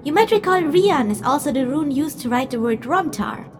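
Female spirit fortune-teller, high-pitched, lively intonation, teasing, condescending, theatrical, audio drama. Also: normal speed, noisy background. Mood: mystical, playful. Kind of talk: audio drama acting, direct address to listeners.

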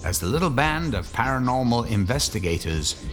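A man narrating a horror radio drama as a suave storyteller, in a theatrical, measured way with weighty pauses. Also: mid-range voice, very animated, a bit bright, soft background music. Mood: dramatic, wry.

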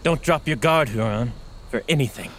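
A man's voice: medium pitch, moderate pace, fairly bright tone, a little hiss or hum, lightly acted. An actor playing a proud, hot-headed young nobleman eager for war in a fantasy audio drama, with an intense, formal delivery. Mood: fierce, impatient.

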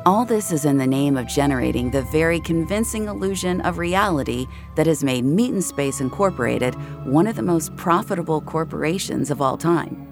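An actor playing an introspective young VR regular tells their own story in a confiding tone. The voice sits mid-range with a noticeable creak. Music plays underneath this first-person audio drama narration. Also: medium pitch, lively intonation, normal speed, close to an everyday voice. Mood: reflective. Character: introspective young VR social-space regular named Jamie.

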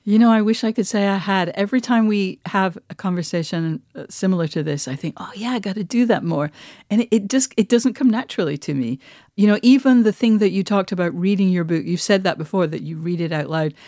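Female co-host, mid-range voice, very dry sound; relaxed conversational delivery, reflective and openly self-deprecating. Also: medium pitch, brisk, no echo. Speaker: podcast co-host and writer.

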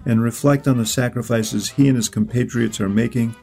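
A middle-pitched American man leads an interview podcast with a measured, earnest delivery. Faint music sits quietly beneath his voice. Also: fairly even intonation, creaky. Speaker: podcast host.

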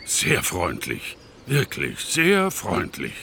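An older actor playing a kindly, absent-minded grandfather in a children's audio play, with a gentle, doddery manner. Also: sits low, wildly swooping pitch, takes it slowly, creaky, a little hiss or hum, heavily acted. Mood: kindly, scatterbrained.

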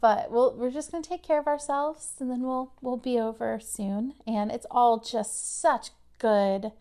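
Woman in her thirties, podcast host, casual filler-laden delivery, slightly higher voice, a touch worn from overuse. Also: steady tone, measured pace, warm tone. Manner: chatty, conversational, many fillers.

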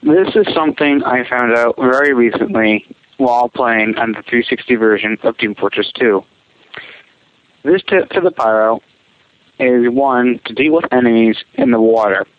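A man's voice: mid-range voice, normal speed, a little hiss or hum. Man on a tinny phone line. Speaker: caller leaving a voicemail. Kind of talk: giving a gameplay tip.

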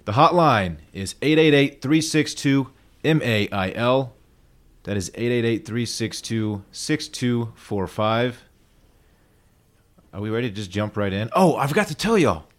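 A man's voice: medium pitch, measured pace. A man hosting a casual podcast, telling stories with eager, jokey energy. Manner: animated storyteller, eager and jokey. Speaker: podcast host.

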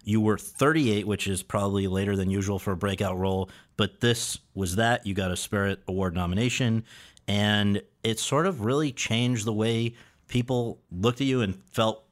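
Man interviewing, measured and prepared. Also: fairly low pitch, steady tone. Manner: prepared, reads quotes back, friendly laughs. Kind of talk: conversational interview.

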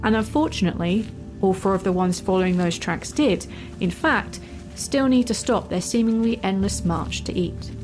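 A woman narrates a fantasy audio story. Her voice sits at an ordinary pitch and her delivery is measured and wry. Music plays behind her. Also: lively intonation. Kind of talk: dramatic fan fiction narration.

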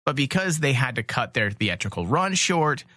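A man's voice: medium pitch, sing-song, moderate pace, sharp tone, dry recording. A man co-hosting a fan podcast in casual chat, emphatic and joking in the way he talks. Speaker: podcast co-host.

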